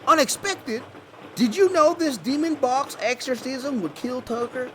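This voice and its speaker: A man acting in a comedy horror audio drama as an anxious, gullible, excitable hillbilly cousin. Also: very high voice, measured pace, a little hiss or hum. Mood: panicked. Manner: fretful, excitable.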